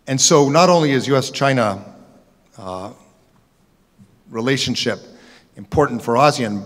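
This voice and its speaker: A middle-aged man speaking on a conference panel, talking in a measured, analytical way with frequent ums and uhs into a table microphone. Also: fairly low pitch, a bit bright. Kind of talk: policy forum talk. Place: large conference hall. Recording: conference hall reverb.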